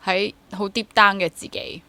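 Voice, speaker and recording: A woman's voice: mid-range voice, little pitch movement, moderate pace, pushed and bright, no echo. A woman in her twenties with a Hong Kong accent, speaking candidly and reflectively in a casual interview, mixing in English words. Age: 20s. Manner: candid, self-questioning, mixes English words into sentences. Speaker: interview guest, freelance theatre actor and drama teacher.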